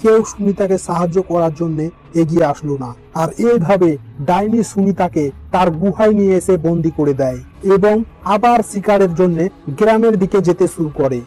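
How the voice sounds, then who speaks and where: Guy narrating a fairy tale, high pitched but soft mellow voice, light music under it. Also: moderate pace, poor audio. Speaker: storyteller, narrator.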